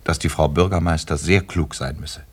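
Deep-voiced male radio play narrator. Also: fairly even intonation, normal speed, breathy edge. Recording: music behind the voice.

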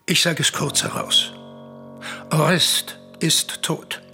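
An actor playing an older messenger with a raspy voice, solemnly declaiming grave news in a classical tragedy radio play. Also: male voice, on the high side, little pitch movement, slow, sharp tone, soft background music, heavily acted. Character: old male messenger stranger bringing grave news, solemn.

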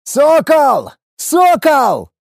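A man's voice: high-pitched, sing-song, normal speed, warm tone, no room sound, studio quality, pitched above the performer's own voice. A man playing a bold, brash fighter and leader with a commanding, urgent delivery in an audiobook. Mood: shouting, urgent.

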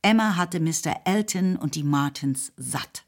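A woman narrating a radio play in a measured, lightly ironic manner. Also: sits low, lively intonation. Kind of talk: scripted literary narration. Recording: narration over music.

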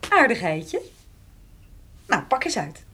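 An actress playing a forthright, affectionate and insistent woman in a naturalistic radio drama, with a husky voice. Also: mid-range voice, sing-song, slow pace, background noise. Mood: eager, affectionate.

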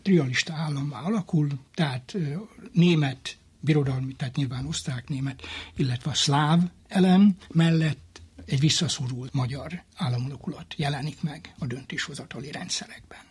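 A man speaking as an expert guest in a history interview, explaining haltingly with repeated words and pauses. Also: fairly high pitch, darkish tone, slightly rough.

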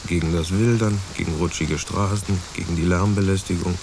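A deep, creaky male voice comes through clear rain noise. a self-important conspirator keeping watch in a satirical radio drama, delivering everything deadpan and mock-solemn. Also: a little fast. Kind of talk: satirical radio play dialogue. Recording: loud rain noise behind voice.

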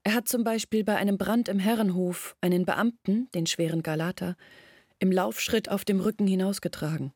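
An actress playing a young village woman in a German radio drama, recalling her family's past in a measured, reflective way. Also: medium pitch, darkish tone, no room sound, studio quality, natural voice. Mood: reflective, earnest. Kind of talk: German radio play acting, reflective storytelling.

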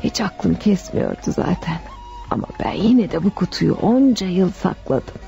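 An actress in a radio drama playing a frail, sick old widow who speaks affectionately and piously, with sighs. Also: female voice, fairly low pitch, very animated, unhurried, gravelly, warm tone, exaggerated voice. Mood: wistful. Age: older. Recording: dull lo-fi radio recording, music behind.

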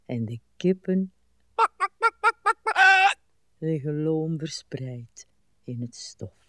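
An actress playing a weary old wife in a literary radio play, speaking plaintively in short, halting, mournful phrases. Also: female voice, low-pitched, dark tone, no echo, clean studio sound. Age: older. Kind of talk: literary radio drama acting.

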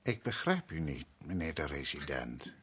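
An actor playing a proud island head priest in a radio drama, courteous and measured yet stubborn, with a husky voice. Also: male voice, very deep voice, sing-song, a bit bright, exaggerated voice. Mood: evasive, deferential. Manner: measured, courteous, evasive, insistent.

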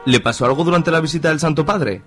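A man's voice: on the high side, quick pace, fairly bright tone, faint music behind, natural voice. A man acting a coarse, nosy middle-aged conservative father with a Spanish accent in a radio drama. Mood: nosy, agreeing. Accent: Spain. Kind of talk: colloquial radio drama dialogue.

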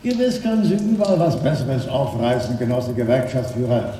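Male actor, venal prison warder, soft dark tone, sardonic, bureaucratic, heavy reverberation, radio drama. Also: medium pitch, fairly quick, slight background noise, natural voice. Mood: stubborn, sly. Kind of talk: German radio drama acting. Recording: very echoey stone-like hall.

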